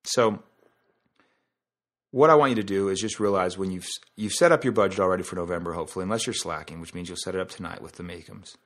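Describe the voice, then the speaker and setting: A man's voice: sits low, fast. A man hosting a podcast in a casual, conversational monologue, explaining things through analogies and asides. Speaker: podcast host.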